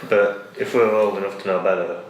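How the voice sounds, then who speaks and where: A man's voice: sits low, fairly even intonation, fast talker. A man in his twenties in a casual co-hosted chat, giving blunt opinions in everyday language. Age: 20s. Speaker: co-host. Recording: very echoey room, distant mic, faint noise.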